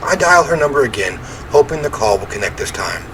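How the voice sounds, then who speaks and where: A man reading a first-person horror game story aloud in an expressive, theatrical way. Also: mid-range voice, a little fast. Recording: clear ambient noise behind voice.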